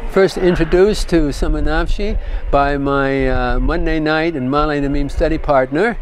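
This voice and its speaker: A man with a slightly high voice gives an informal student testimonial. He halts and doubles back on words, with faint urban noise in the background. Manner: repeats words, halting. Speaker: yeshiva student giving a testimonial.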